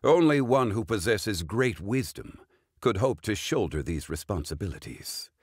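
An actor playing a calm, formal ancient god in a game, speaking in a slow, measured, dignified way. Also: male voice, no room sound, studio quality. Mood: solemn. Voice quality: deep, measured, husky. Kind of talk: game character voice line.

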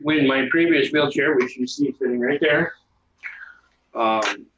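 A man in his thirties from New York, a guest speaking calmly with many pauses over a video call from home. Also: mid-range voice, slight background noise. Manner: calm, plain, many filler pauses. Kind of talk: casual interview chat. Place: home room. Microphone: video call with webcam mic. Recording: dull lo-fi webcam audio.

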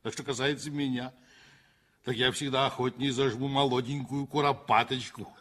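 A man's voice: medium pitch, level tone, moderate pace, close to an everyday voice. An actor playing a boisterous, joking train driver in his forties in a 1960s radio play. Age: 40s. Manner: loud, boisterous, teasing. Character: hearty forty-year-old train driver, crude joker.

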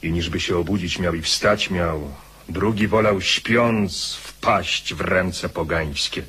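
A grave soldier telling his war chronicle for radio. His voice is low, over faint noise. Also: takes it slowly, pushed and bright, full character voice. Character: grave soldier chronicler recounting a desperate retreat. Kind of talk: dramatised radio narration.

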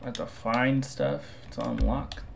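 A man in his twenties commentating on a game through a headset, excitable and slangy, repeating himself. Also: mid-range voice, a little slow, gravelly, background noise. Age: 20s. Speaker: gaming streamer. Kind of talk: casual gameplay commentary.

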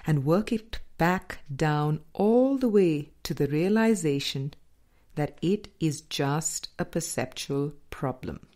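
A woman reading a spiritual audiobook as scripted narration, in measured, deliberate phrases with pauses. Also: sits low, expressive, warm tone. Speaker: audiobook reader.

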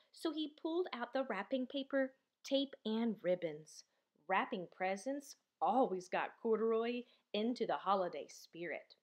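A woman reading a children's picture book aloud in an expressive, deliberate storytelling manner, drawing out certain words. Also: a little high. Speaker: storybook reader.

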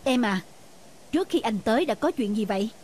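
A man's voice: high-pitched, expressive, fairly quick, a little mellow, a little hiss or hum. Earnest young cartoon husband , acted by a man. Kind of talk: animated moral-story dubbing.

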